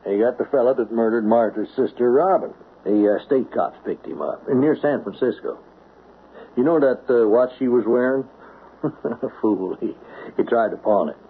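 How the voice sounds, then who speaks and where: An actor playing a folksy small-town sheriff with an easygoing, colloquial, hemming manner, in old-time radio drama style. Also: male voice, mid-range voice, normal speed, slightly rough. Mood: confiding. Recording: noisy, tinny old radio recording.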